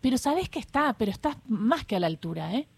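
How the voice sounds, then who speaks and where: A woman's voice: a little high, very animated, quick pace, vocal fry, slightly rough, dry recording. A woman radio host, interviewing a guest with enthusiasm.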